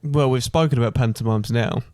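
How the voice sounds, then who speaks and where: A man's voice: mid-range voice, fairly quick, darkish tone, no echo, studio quality. A British man chatting casually and teasingly as a podcast co-host. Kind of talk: casual chat.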